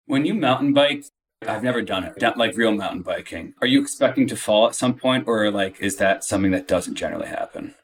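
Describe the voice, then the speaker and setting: A man's voice: fairly low pitch, a little fast, recorded from a distance. A man hosting a podcast in a casual, chummy interview style. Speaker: podcast host.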